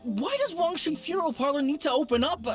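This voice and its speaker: A woman's voice: high voice, lively intonation, fast talker. A woman voicing a timid, weary young funeral parlor assistant in a video game, hesitant and full of uhs. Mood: doubtful. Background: game music.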